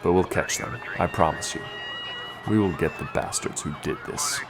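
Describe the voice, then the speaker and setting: Casting: male actor as sympathetic, tired police chief, deep register, gentle delivery, horror radio play. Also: moderate pace, noisy background. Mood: grim, resolute. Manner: gentle, sighing heavily. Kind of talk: horror audio drama acting.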